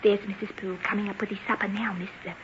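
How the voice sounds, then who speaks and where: An actress playing a respectful, deferential household maid in an old-time radio drama. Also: female voice, mid-range voice, little pitch movement, fast, natural voice. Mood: dutiful, hushed. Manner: dutiful, respectful. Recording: dull, noisy old radio recording.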